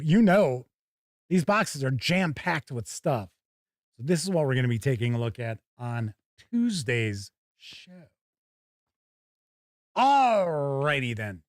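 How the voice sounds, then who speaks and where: Higher-voiced American man hosting casually. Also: no echo, studio quality. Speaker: host of a tabletop gaming livestream. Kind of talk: casual livestream chat to viewers.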